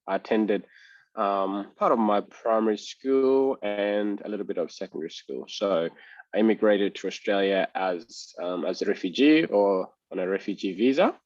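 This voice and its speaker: A man in his thirties from Sudan, answering interview questions in a measured, reflective way. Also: fairly low pitch, a little mellow, no room sound, lo-fi sound. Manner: measured and reflective, pausing to gather thoughts. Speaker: interview guest.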